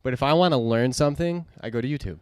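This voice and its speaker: A man's voice: medium pitch, fast talker, clear, resonant tone, dry recording, clean studio sound. A man in his twenties co-hosting a casual podcast chat, agreeable and emphatic, often repeating his words for stress. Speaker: podcast co-host.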